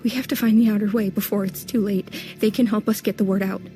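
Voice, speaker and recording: A woman's voice: medium pitch, steady tone, quick pace, lightly acted. An actress playing a determined, grieving young woman fugitive in a sci-fi audio drama, speaking with urgent resolve. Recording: loud music behind the voice.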